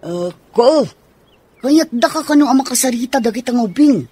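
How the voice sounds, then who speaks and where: A woman's voice: high voice, animated, normal speed, mellow, breathy edge, dry recording. A woman playing a helpful, agreeable friend in a radio drama, speaking conversationally.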